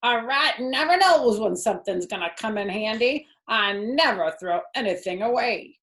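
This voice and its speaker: A woman's voice: on the high side, lively intonation, moderate pace, fairly bright tone, voice pushed up. A woman voicing a surly, boastful rat who hoards things, in a children's storybook reading. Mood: surly boasting.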